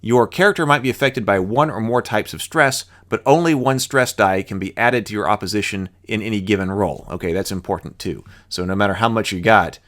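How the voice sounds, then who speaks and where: A man's voice: lowish voice, a bit bright. A man presenting as a host, reading rules aloud and adding casual commentary of his own.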